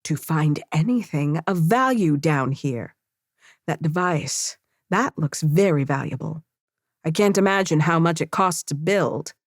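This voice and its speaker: A woman's voice: deep voice, no echo, clean studio sound. A woman playing a cool, pragmatic cultivator adventurer in an audiobook, firm and matter-of-fact. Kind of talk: character acting in an audiobook.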